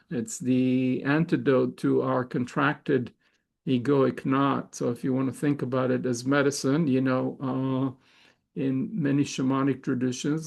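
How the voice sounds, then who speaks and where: Slow, gravelly man, halting. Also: medium pitch. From Israel. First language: Hebrew. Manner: thoughtful, halting, many fillers and restarts. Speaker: host of a spiritual gathering. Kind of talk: reflective spiritual talk.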